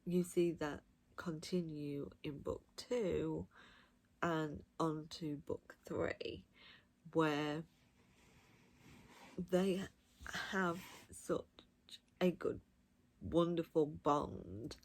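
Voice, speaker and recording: A woman giving a casual, unscripted review of audiobooks, gushing and emphatic, speaking in short, halting phrases. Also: sits low, steady tone, darkish tone.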